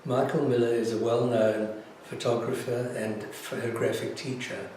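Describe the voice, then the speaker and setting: Very reverberant room, male presenter, off-mic, informal introduction, average pitch, slight background noise. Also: level tone, normal speed, a little mellow. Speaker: presenter introducing an exhibiting photographer. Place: indoor art gallery. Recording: very echoey, distant, noisy room.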